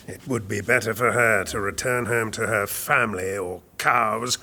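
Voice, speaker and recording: A man's voice: lowish voice, steady tone, a little slow, bright, forceful tone, slight background noise, full character voice. A man playing a scheming, disdainful medieval royal chamberlain in an audio drama, with formal, condescending speech. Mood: dismissive. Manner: smooth-worded, condescending, formal. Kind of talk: historical audio drama acting.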